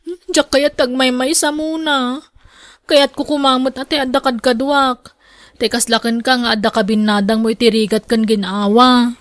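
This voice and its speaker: A woman's voice: on the high side, fairly even intonation, moderate pace, dry recording, natural voice. A woman with a Filipino Ilocano accent playing an outspoken young single daughter in a radio drama. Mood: wistful.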